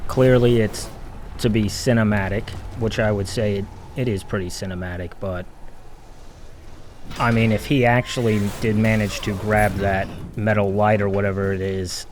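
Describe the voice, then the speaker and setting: A man's voice: fairly low pitch, fairly even intonation, normal speed, slight background noise. A man giving an unscripted, exasperated video game critique with a lot of swearing. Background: game audio.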